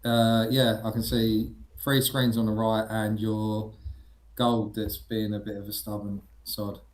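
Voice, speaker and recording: A man's voice: on the low side, little pitch movement, a little slow, reverberant. A man chatting casually as a fellow trader on a live stream. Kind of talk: casual chat.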